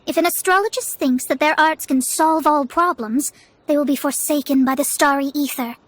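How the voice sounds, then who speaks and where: A woman's voice: high-pitched, normal speed, slight background noise, natural voice. A woman voicing a proud young female astrologist in a video game, speaking in a formal, theatrical, haughty way. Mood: indignant, lofty. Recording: music behind the voice.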